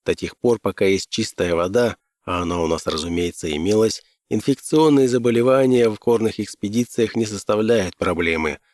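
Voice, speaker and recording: A man's voice: on the low side, normal speed, few pauses, a little mellow, no echo, clean studio sound. A man reading scripted first-person fiction as an audiobook narrator.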